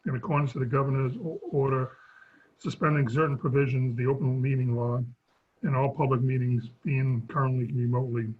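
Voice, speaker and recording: A man with a fading, husky voice chairs a town sewer commission meeting over a video call, stumbling through a scripted opening. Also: medium pitch, flat delivery, a little fast, slight background noise. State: losing his voice. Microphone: remote video call. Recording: dull lo-fi call audio.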